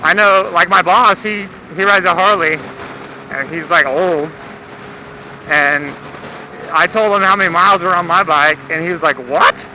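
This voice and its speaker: Young male American motovlogger, higher-pitched voice, casual and slangy with some swearing, recorded outdoors amid clear engine and road noise. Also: a little slow, pushed and bright, poor audio. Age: young adult. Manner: laid-back, slangy, swearing. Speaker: motorcycle vlogger. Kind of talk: casual rambling vlog chat. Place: outdoors, riding a motorcycle. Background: engine and vehicle noise.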